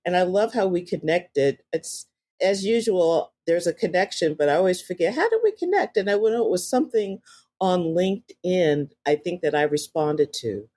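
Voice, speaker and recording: A woman hosting a podcast, speaking in an earnest, reflective and gently enthusiastic way. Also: lowish voice, expressive, normal speed. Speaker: podcast host. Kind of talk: conversational podcast interview.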